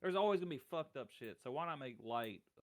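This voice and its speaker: A man's voice: medium pitch, lively intonation, quick pace. A man chatting casually as a podcast guest, blunt, profane and irreverent. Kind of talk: casual chat.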